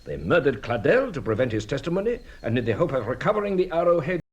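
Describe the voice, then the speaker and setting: An actor playing a shrewd, courteous police detective in a radio drama, a husky voice and a formal, measured, theatrical manner. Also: male voice, a little high, very animated, muffled recording. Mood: explaining.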